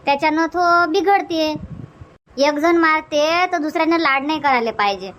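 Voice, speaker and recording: A woman's voice: sits high, moderate pace, fairly bright tone, a little hiss or hum. A woman voicing a practical village grandmother in a cartoon, coaxing and advising in a rustic Varhadi Marathi accent. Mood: earnest, advising. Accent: Varhadi Marathi, Vidarbha. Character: village grandmother, practical advice-giving mother. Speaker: cartoon character voice. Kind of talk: homely cartoon comedy dialogue.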